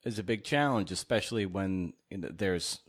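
A man hosting an interview podcast, asking questions in a halting way and rephrasing as he goes. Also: sits low.

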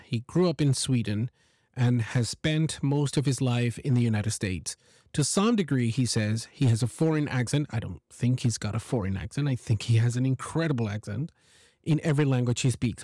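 A man's voice: mid-range voice, warm tone, no echo. A man hosting a podcast talks in a casual, earnest way and pushes his points with emphasis. The recording is dry and close. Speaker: podcast host.

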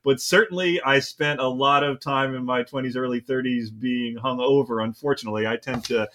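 A man's voice: mid-range voice, fairly even intonation, a little fast, fairly bright tone, slight background noise. A man co-hosting a podcast, telling a long story in a casual, rambling way and often correcting himself mid-sentence. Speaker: podcast co-host.

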